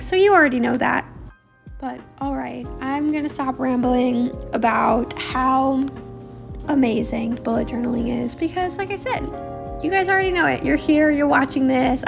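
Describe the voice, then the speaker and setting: A young woman from Australia with a raspy, harsh throat, chatting casually over her drawing with lots of fillers and rambling asides. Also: high voice. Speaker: bullet journal vlogger.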